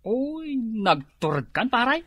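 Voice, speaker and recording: An actor playing a henpecked, teasing village husband with an Ilocano accent in a comedy radio drama. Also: male voice, extremely high pitch, big pitch swings, takes it slowly, fairly bright tone, husky, dry recording, exaggerated voice.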